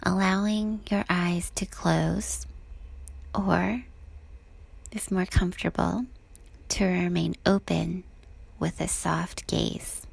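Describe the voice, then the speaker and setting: Slow, husky woman guiding meditation. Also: on the low side. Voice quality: soft, breathy, husky. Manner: calm, gentle, unhurried with long pauses. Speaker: meditation guide. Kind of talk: guided body scan meditation.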